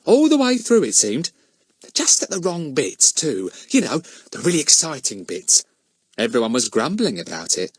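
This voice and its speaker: Man putting on a chatty, high-pitched young woman. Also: wildly swooping pitch, normal speed, slightly rough, dry recording, heavily acted. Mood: chatty, eager.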